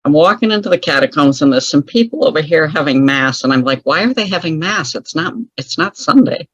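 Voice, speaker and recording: A woman's voice: sits low, animated, a little fast, husky, no room sound, dull audio. Chatty wry woman telling stories. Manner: chatty, wry, laughs often at her own stories. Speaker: guest author. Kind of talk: casual storytelling interview.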